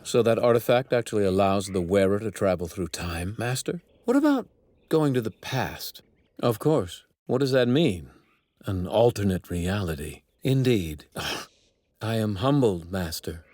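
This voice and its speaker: An actor in an English anime dub playing a haughty young divine apprentice with cold contempt for mortals, speaking in formal, pompous, precise phrases. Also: male voice, lowish voice, very animated, unhurried, darkish tone, dry recording, studio quality. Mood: deferential.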